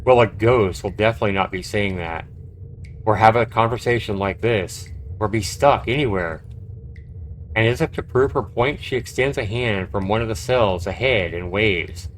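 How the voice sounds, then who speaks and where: A man's voice: on the low side, moderate pace, a little hiss or hum, poor audio. A man live-streaming a game, reading its text aloud and adding casual, reactive commentary. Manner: reactive, conversational.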